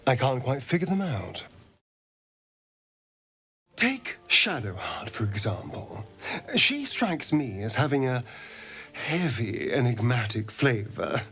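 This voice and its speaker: An actor playing a flirtatious, refined vampire man in a video game, with a theatrical, teasing drawl and breathy asides. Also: medium pitch, very animated, takes it slowly, pauses often, a bit bright, faint music behind. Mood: relishing, musing. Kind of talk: video game companion dialogue.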